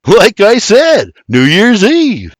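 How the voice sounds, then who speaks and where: A man's voice: high-pitched, huge pitch swings, unhurried, dry recording, studio quality, pitched above the performer's own voice, exaggerated voice. A man doing a sarcastic Irish farmhand, William. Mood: wry. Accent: Irish, as played. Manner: wry, sarcastic retorts. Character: sarcastic younger Irishman, quick and teasing.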